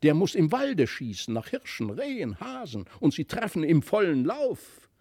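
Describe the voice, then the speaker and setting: A man voicing an impulsive, boastful young boy in a storybook, excitable and emphatic. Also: fairly high pitch, moderate pace, gravelly, breathy edge, pitched above the performer's own voice, exaggerated voice. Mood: scornful, indignant. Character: impulsive, boastful young boy, quick to scorn his toys. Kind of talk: storybook character voice.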